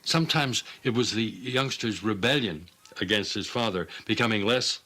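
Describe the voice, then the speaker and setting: A man's voice: on the low side, normal speed, pushed and bright. A man giving reflective, thoughtful documentary-style commentary. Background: plucked guitar music.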